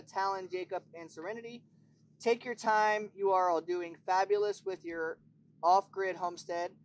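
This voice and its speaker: A man's voice: sits high, a little hiss or hum, rough recording. Man reading a letter outdoors. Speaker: vlogger reading fan mail. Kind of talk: casual family chat, reading a letter aloud. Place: outdoors on a lakeshore hillside.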